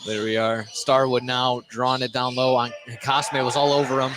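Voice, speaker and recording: A man does live basketball play-by-play at a mid pitch, over clear background noise. He often trips over his words and corrects himself as he follows the action. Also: level tone, moderate pace. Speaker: play-by-play sports announcer. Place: indoor basketball game, courtside broadcast.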